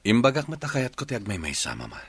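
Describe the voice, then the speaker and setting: A man's voice: mid-range voice, huge pitch swings, fast, slightly rough, dry recording. An actor with an Ilocano accent playing a stressed grown son in a radio drama who insists and pleads with his mother. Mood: insistent.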